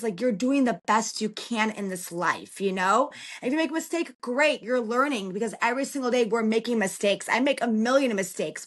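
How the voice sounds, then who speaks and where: Woman, thirties, from Chicago, slightly high voice, casual and encouraging, lots of fillers. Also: a bit bright. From Chicago, United States. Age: 30s. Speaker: podcast guest, relocation consultant. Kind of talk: casual interview talk.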